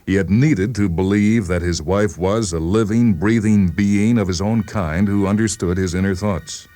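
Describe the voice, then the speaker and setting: A man narrating a literary short story in a deliberate, brooding way with dramatic pauses. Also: low voice, mellow, no room sound. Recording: music behind the voice. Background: music.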